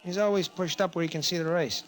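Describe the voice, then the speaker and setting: A man's voice: high voice, fast talker, close to an everyday voice, rough recording. An actor playing a brash young down-on-his-luck racetrack tout, cheeky and casual, in old-time radio drama style. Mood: curious, scheming. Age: young adult. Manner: cheeky, casual, persistent hustler's patter. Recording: vintage radio broadcast recording.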